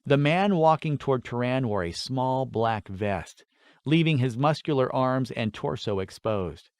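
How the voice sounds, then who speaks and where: A man's voice: mid-range voice, animated, a little slow, smooth, dry recording. A man narrating a scripted fantasy story as an audiobook reader.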